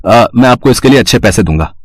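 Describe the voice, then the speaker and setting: A man performs a helpful shop owner in a cartoon story. He is polite and explains things courteously. The voice is mid-pitched with no room echo. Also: expressive, fast talker, slightly rough, clean studio sound. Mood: polite, earnest.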